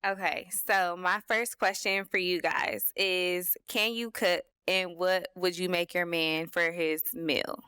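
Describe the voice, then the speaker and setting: A woman co-hosting a podcast, asking contestants questions in a measured, deliberate way. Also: on the low side, steady tone, bright, forceful tone, clear, resonant tone, no echo, studio quality. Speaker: podcast co-host.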